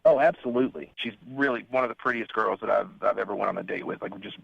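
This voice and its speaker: A man's voice: fairly low pitch, quick pace, creaky, slightly rough. A man calling in to a radio show by telephone, casually recounting things in an earnest, slightly hesitant way. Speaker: phone-in caller. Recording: dull, lo-fi phone line.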